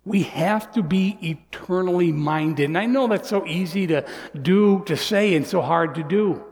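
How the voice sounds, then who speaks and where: A man's voice: high voice, a little slow. Earnest pastor in his sixties, echoing hall. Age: 60s. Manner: earnest, shifts between soft near-whispers and raised emphatic lines. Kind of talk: sermon. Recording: long hall reverb.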